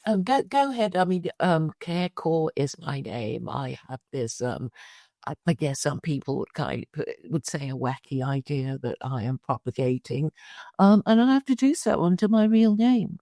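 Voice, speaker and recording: A woman in her sixties from Malaysia answers interview questions hesitantly, stumbling over fillers and repeats. Her voice sits very low and gravelly yet swings widely in pitch, heard dry and close. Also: lively intonation, normal speed, mellow, dry recording. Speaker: guest.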